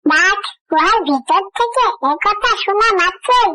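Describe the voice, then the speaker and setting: A woman's voice: very high-pitched, expressive, unhurried, sharp tone, dry recording. A woman reading a scripted fairy tale for children as the storyteller.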